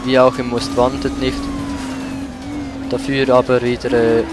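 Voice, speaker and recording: A man's voice: medium pitch, little pitch movement, measured pace, background noise. A man giving casual commentary as he plays a video game. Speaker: let's play gamer. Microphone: voice-over mic over game audio. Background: racing game car sounds and music.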